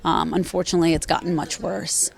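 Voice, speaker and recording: Measured woman author, low-pitched voice. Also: steady tone, creaky. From Afghanistan, raised in Virginia, USA. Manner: measured, thoughtful, with frequent um and uh fillers. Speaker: author and former journalist. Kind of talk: interview answers.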